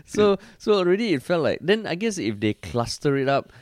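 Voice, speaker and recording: A young man with a Singaporean accent, co-hosting a podcast with blunt, animated chat and casual swearing. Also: fairly high pitch, fairly quick, no room sound, clean studio sound. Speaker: podcast co-host.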